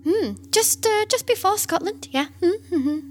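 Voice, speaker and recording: A woman's voice: very high voice, animated, slight background noise, voice pushed up. A woman voicing a superstitious, chatty cleaning woman with a Geordie accent, humming and drawing out her "mm-hmm"s. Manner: humming, drawn-out "mm-hmm"s, cheerfully folksy.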